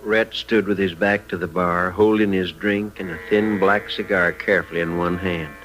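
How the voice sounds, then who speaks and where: Lowish-voiced western storyteller played by an actor. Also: male voice, steady tone, moderate pace, over loud music, close to an everyday voice. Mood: measured narration. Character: placating male western saloon keeper, also telling the tale. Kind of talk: 1950s radio western narration and acting.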